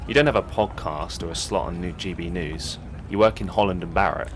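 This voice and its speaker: A man's voice: low-pitched, brisk, noisy background, close to an everyday voice. An actor playing a gullible, apologetic young man at a protest in a comic audio drama, tentative and earnest. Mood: bemused, earnest.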